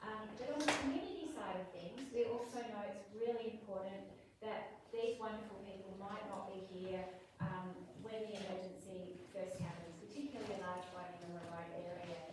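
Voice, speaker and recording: A quiet-voiced Australian woman speaks to a community gathering, chatty and peppered with ums. She is picked up from across a very echoey hall. Clear background noise sits under her voice. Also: medium pitch, normal speed. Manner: chatty, frequent ums, warm thanks. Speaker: community program facilitator. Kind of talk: community meeting address. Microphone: far room mic. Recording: echoey hall, distant mic, noisy.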